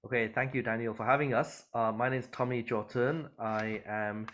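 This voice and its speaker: A man's voice: mid-range voice, steady tone, normal speed, a bit bright. A middle-aged man from Hong Kong, a church elder, answering interview questions conversationally.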